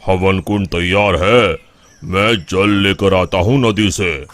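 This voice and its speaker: A man playing a calm village temple priest in a cartoon story, speaking in a measured, solemn, polite way. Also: deep voice, no echo. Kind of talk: Hindi cartoon story dialogue. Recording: faint background noise.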